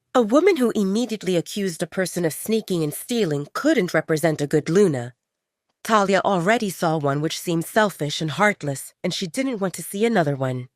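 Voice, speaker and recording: Female storyteller for serialized fiction, slightly low pitch, lively intonation, dry close sound. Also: dry recording. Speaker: audio drama narrator. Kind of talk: scripted story narration.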